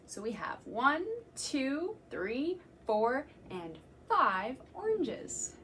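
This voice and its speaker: A young woman, a children's librarian, reading a picture book aloud to children in a gentle, slow, sing-song way and counting along. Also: a little high, sing-song, slight background noise. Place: library room.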